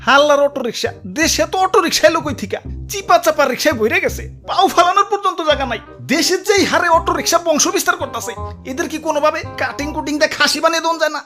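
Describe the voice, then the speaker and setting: A woman with a Bangladeshi accent voicing an exasperated motorbike rider in a comic cartoon, scolding and complaining over music. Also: high-pitched. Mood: irritated. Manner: rapid scolding, complaining, colloquial. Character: exasperated, ranting motorbike rider in city traffic. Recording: loud music behind the voice.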